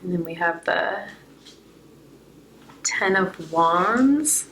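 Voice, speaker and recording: Low-voiced woman, slightly hoarse tarot reader. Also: lively intonation, drawn-out words, fairly bright tone, slight background noise. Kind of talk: unscripted spiritual card reading, reflective.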